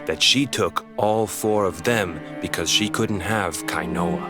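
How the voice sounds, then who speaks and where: A man's voice: low-pitched, measured pace. An actor playing an earnest, easygoing young island fisherman in a video game, talking in a colloquial storytelling way. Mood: grave, earnest. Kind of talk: video game character acting. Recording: music behind the voice.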